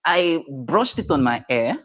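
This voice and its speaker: A man's voice: a little high, very animated, a little slow, fairly bright tone. Young Nigerian man, insistent debater. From Delta State, Nigeria. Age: young adult. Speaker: caller on a live-stream debate. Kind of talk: conversational debate, some Pidgin. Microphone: live-stream call.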